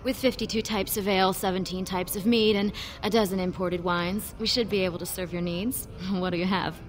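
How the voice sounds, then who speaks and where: A woman's voice: mid-range voice, steady tone, brisk, close to an everyday voice. A woman voicing a welcoming dwarf tavern hostess. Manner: welcoming, polite. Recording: game audio with faint music behind.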